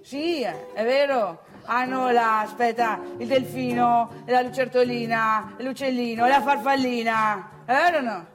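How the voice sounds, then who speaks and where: A woman's voice: a little high, unhurried, few pauses, lightly acted. A woman performing a comic stage monologue as a brash, grumbling provincial man with a northeastern Italian accent, colloquial, boastful and repetitive. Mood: teasing. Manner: colloquial, boastful, repeats phrases, addresses listeners directly. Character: brash, grumbling provincial young man chasing women in bars.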